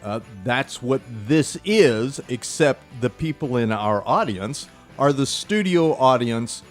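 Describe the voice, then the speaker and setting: Male actor guest, seventies, medium pitch, agreeable and halting explainer in casual conversation. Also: expressive, soft background music. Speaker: local actor, podcast guest. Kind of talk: casual explanatory interview talk. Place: indoors at a small theatre.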